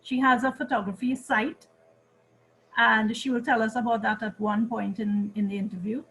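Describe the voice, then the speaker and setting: A Trinidadian woman hosts an interview series, introducing her guest in a measured, welcoming way. Her voice sits a touch high. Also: little pitch movement, a bit bright, a little hiss or hum, poor audio. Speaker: interview host. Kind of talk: hosted interview.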